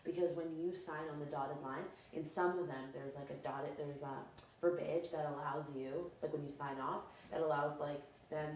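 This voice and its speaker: A woman in casual group chat, telling stories in a chatty, animated way. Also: deep voice, moderate pace. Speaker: reality show contestant. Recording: echoey room with faint noise.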